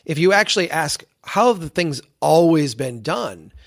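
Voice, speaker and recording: A man with an American accent and a fairly high voice speaks as a podcast guest. He explains his ideas reflectively, using examples and asking rhetorical questions along the way. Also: animated, normal speed, vocal fry. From small town in central Minnesota, US. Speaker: podcast guest, engineer and planner. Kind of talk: explanatory interview talk with anecdotes.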